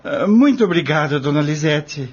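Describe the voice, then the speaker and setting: A man playing a humble, hesitant farm employee in an audio drama, with a husky, deferential voice. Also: fairly high pitch, lively intonation, moderate pace, dull audio, a little hiss or hum. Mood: grateful, deferential.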